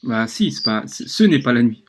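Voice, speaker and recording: A man's voice: mid-range voice, very animated, normal speed, heavy background noise. A man asking about a religious question, polite but mildly insistent. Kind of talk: conversation.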